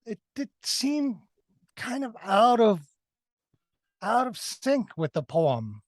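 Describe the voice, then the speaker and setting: A man's voice: very high-pitched, sing-song, takes it slowly, no room sound, clean studio sound. A man giving a conversational film critique as a review panelist, emphatic and repeating phrases for effect.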